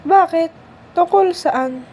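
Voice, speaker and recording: Jealous teen antagonist played by a woman, high-pitched, faint room noise, radio play. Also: slow, mellow. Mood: defensive. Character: scheming, jealous high school girl villain. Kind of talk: amateur radio drama acting.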